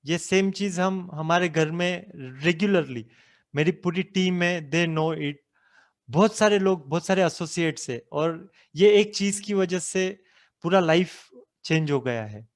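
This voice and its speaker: A man's voice: high-pitched, takes it slowly. A man in his thirties from India, a business coach giving a motivational talk who asks the listeners rhetorical questions. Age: 30s.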